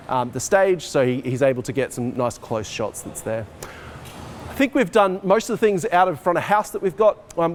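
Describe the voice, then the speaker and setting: A man in his forties, a teacher, giving an informal walk-through technical explanation to camera in a hall. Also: medium pitch. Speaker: teacher, head of arts and IT department. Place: large dark school assembly hall, indoors. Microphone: camera microphone a short distance away. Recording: noisy hall ambience on camera mic.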